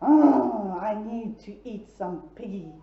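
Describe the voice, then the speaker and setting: Woman playing the big bad wolf: male, hungry, blustering, booming storybook villain, roomy lo-fi take. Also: lively intonation, takes it slowly, gravelly. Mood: menacing. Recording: echoey room, dull lo-fi sound.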